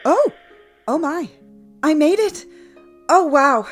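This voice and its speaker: An actress playing an excitable, blunt loner newly arrived in the afterlife, exclamatory and frank, in a comic audio play. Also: female voice, high-pitched, slow, soft background music. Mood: amazed, delighted. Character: newly dead animal-loving woman, excitable, blunt loner. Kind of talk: comic audio drama acting.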